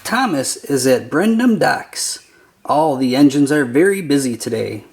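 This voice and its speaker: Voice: mid-range voice, lively intonation, unhurried. A narrator reading a children's picture book aloud in short, simple storytelling phrases.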